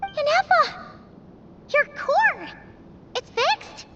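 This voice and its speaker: A woman voicing a tiny, excitable, childlike fairy companion in a game, with a squeaky, exclaiming manner. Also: drawn-out words, a little hiss or hum, exaggerated voice. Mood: excited. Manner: squeaky, exclaiming, refers to self in third person. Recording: muffled game audio under loud music.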